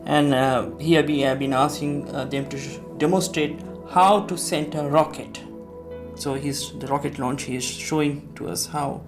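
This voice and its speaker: A middle-aged man from Sri Lanka, recalling his war memories in a hesitant documentary interview with many pauses and restarts. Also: on the high side, fairly even intonation. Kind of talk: documentary interview, personal recollection. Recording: echoey room with faint music.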